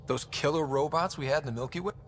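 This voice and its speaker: An actor playing an easygoing, inquisitive young space explorer and team leader in a video game, casual and questioning. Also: male voice, fairly high pitch, fast, a little hiss or hum, natural voice. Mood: curious, surprised.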